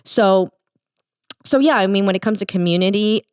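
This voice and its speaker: A woman's voice: medium pitch, normal speed, smooth, no room sound, clean studio sound. A middle-aged woman with a Midwestern accent, co-hosting a casual podcast chat and rambling with restarts and fillers. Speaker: podcast co-host.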